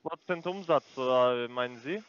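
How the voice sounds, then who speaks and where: A man with a foreign accent, speaking German as a call center agent on the phone, halting with many fillers and broken grammar. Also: mid-range voice, clear, resonant tone, no echo, lo-fi sound.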